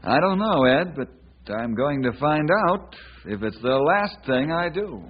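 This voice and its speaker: An actor playing a steady, kindly ranger in an old-time radio western, speaking in an earnest, folksy, persuasive way. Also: male voice, a little high, a little slow. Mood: thoughtful. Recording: dull, lo-fi old radio recording.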